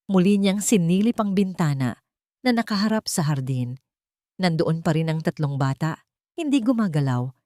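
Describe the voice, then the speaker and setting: A woman narrating a scripted drama story in a measured, somber way. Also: fairly low pitch, mellow, no room sound, studio quality.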